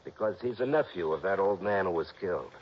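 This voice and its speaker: Gruff worried american cop lieutenant, old radio drama, lowish voice, earnest and urgent, dull sound. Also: male voice, lively intonation, fast. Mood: exasperated. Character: harried, earnest American male police lieutenant. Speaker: supporting actor in a radio detective serial. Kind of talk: 1960s radio drama acting. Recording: dull old radio broadcast, faint noise.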